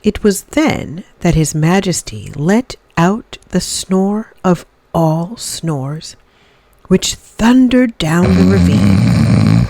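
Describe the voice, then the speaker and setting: A woman reading a children's story aloud in expressive, dramatic narration with deliberate phrasing. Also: lowish voice.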